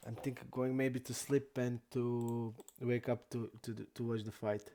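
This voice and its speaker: A young man from Croatia, not an English speaker, chatting casually and haltingly while streaming poker. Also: medium pitch, little pitch movement, mellow. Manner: halting non-native English, many restarts and fillers.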